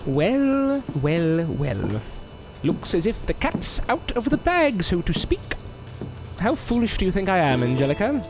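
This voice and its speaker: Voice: mid-range voice, huge pitch swings, a little slow. An actor playing a smug, bullying, malicious master in an audio drama, with a sneering, theatrical way of taunting. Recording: music under the voice.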